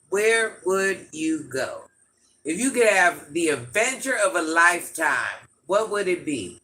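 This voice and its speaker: A man with an itchy, scratchy throat, hosting a livestream in a grandmother persona and chatting through viewer comments with quick laughs and asides. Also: sits high, pushed and bright. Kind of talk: casual live chat answering viewer comments.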